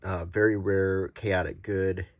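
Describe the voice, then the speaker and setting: A man presenting collectible cards in a casual, unscripted show-and-tell, halting and pausing often as he describes things. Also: low-pitched, little pitch movement, no room sound, studio quality. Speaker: host presenting vintage collectible game cards.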